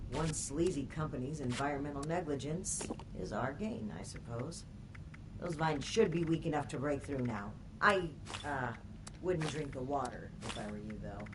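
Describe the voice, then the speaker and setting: A low female voice from an actress plays a determined technical expert in a video game. She is purposeful and steady, giving instructions with a dry, wry edge. Music plays clearly behind her. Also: natural voice. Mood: wry relief.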